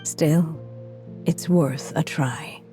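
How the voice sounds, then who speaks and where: A slow-spoken, wistful elderly writer. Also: female voice, low-pitched, fairly even intonation, over music. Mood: weary, determined. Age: older. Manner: slow, measured, reflective, breathy at times. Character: elderly female storybook writer, frail, wistful, resolute. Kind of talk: dramatic video game voice acting.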